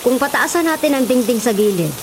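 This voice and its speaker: A woman playing a concerned, gentle middle-aged mother in a Filipino radio drama, speaking with a Filipino accent in a motherly, plaintive way. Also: fairly high pitch, animated, a little fast, noisy background.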